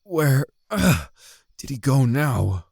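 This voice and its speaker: Male knight, played by an actor: hugely varied pitch, slow, worn and protective, fantasy drama. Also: sing-song, creaky, darkish tone, breathy edge, no room sound, clean studio sound, full character voice. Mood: weary, frustrated. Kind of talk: fantasy audio drama acting.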